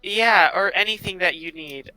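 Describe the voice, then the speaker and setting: A man's voice: high voice, normal speed, sharp tone, clear, resonant tone, no room sound, soft background music, rough recording. A man voicing a small, earnest orphan rat-boy, polite and grateful, in a tabletop game.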